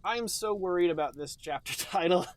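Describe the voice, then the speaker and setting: A man with a fairly high-pitched voice hosts a comedy podcast. He talks in casual banter, sarcastic and jokey, and likes to ham things up. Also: fairly quick, slight background noise. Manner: sarcastic, joking, hammy. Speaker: podcast host.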